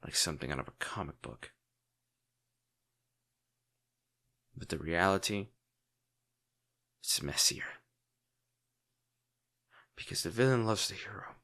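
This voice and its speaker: A man playing a lovesick, protective villain in an intimate, brooding audio roleplay, speaking earnestly with dramatic pauses. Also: deep voice, lively intonation, vocal fry, bright, forceful tone, voice pushed down, full character voice. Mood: wry, tender. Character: lovesick male villain, brooding, protective, torn over wounded hero.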